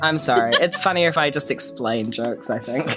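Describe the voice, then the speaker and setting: A woman's voice: very deep voice, moderate pace, loud music behind the voice. A woman with a New Zealand accent chatting and improvising playfully in a tabletop role-playing game, in a rambling, jokey way. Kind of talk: casual improvised role-play chat.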